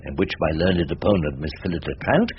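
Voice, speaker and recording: An actor playing a grumbling older English defence barrister in a radio drama, dry, ironic and ponderous. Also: male voice, deep voice, a little fast, crowd noise, natural voice. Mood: wry narrating. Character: wry, grumbling veteran English defence barrister narrating his cases. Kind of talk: radio drama acting and first-person narration. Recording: dull radio recording with loud background noise.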